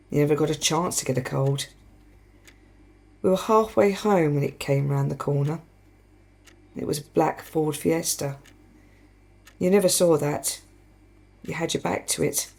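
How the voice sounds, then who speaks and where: An actress playing a reflective, haunted wife in a radio play, with a measured way of speaking. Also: female voice, low voice, vocal fry, warm tone, exaggerated voice. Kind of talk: radio play acting with letter-like narration.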